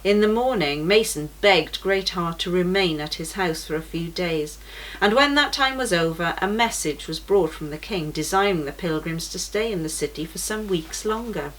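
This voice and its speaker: A woman reading a children's story aloud for a church program, in a gentle, measured storytelling manner. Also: on the low side, poor audio.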